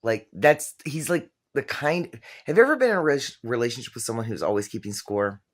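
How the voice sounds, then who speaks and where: A middle-aged woman with a very low voice tells a personal story to camera in a casual, rambling way, full of fillers and self-corrections. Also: lively intonation, fairly quick. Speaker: vlogger telling her own story.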